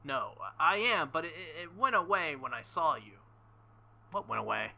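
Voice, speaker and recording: A man with a very bright, forceful and fairly high voice hosts a game playthrough. He reads story text aloud and breaks into animated, sweary joking asides. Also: moderate pace, vocal fry. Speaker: video game playthrough host. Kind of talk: gameplay commentary reading visual novel lines aloud.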